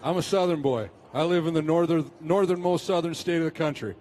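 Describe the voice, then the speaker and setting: A man's voice: a little high, a little fast, a little mellow. Joking man from South Dakota. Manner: joking, playful storytelling. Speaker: guest. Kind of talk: live joking banter on stage. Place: expo show stage.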